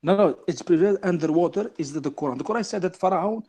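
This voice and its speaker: Man, Arabic first language, pleading debater, fast, higher-pitched delivery. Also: dark tone, breathy edge. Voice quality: hesitant with many fillers. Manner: pleading, keeps asking to continue. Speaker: debate guest. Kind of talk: heated online panel debate.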